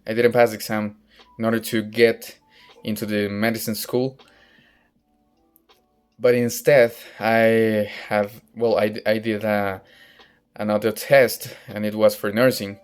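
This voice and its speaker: Young mexican guy practicing english, low flat voice, slow and unsure, restarts a lot. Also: clear, resonant tone, soft background music. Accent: Mexican Spanish. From northern Mexico. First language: Spanish. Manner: hesitant, searching for words, frequent restarts. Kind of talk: casual personal monologue. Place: outdoors in sunlight.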